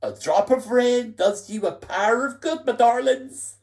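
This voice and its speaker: A woman's voice: on the high side, a little slow, a little mellow, pitched above the performer's own voice. Woman doing Tatty Bogle, chirpy rustic scarecrow. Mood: tender. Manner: broad country dialect, boisterous and chirpy. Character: cheery rustic male scarecrow turned little tree.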